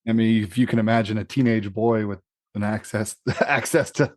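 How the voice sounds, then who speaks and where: A man's voice: on the low side, fairly even intonation, moderate pace, no echo, clean studio sound. A man co-hosting a podcast, talking casually and searching for his words as he reasons. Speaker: podcast co-host. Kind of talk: casual chat.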